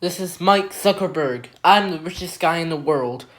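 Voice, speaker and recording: A woman's voice: lowish voice, normal speed, soft background music. A woman delivering a scripted mock emergency announcement as the broadcast's announcer.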